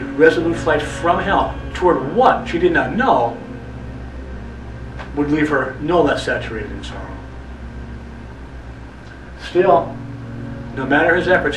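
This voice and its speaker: A man delivers a brooding, theatrical literary monologue as a storyteller, with deliberate, repetitive phrasing. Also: a little high. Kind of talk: dramatic literary monologue narration. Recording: echoey, distant mic, music underneath. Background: music.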